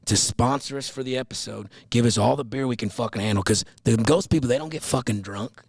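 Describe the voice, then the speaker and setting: A man's voice: mid-range voice, fast, vocal fry, slightly rough, no room sound, studio quality. A man, chatting casually on a podcast as a guest comedian, loose and profane, telling stories with a drawl.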